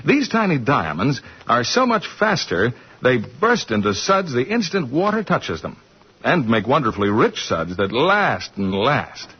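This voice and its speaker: Animated husky American radio announcer, man. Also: mid-range voice, measured pace. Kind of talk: scripted 1940s radio advertising. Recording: dull 1940s radio recording.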